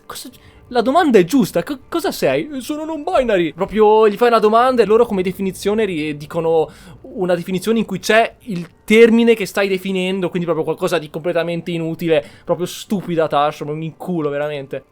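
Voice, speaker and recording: A man with a high-pitched voice comments casually on a game. He is emphatic and opinionated, repeating himself and restarting his phrases. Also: a little mellow. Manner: emphatic, repetitive, halting self-corrections. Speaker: gameplay streamer.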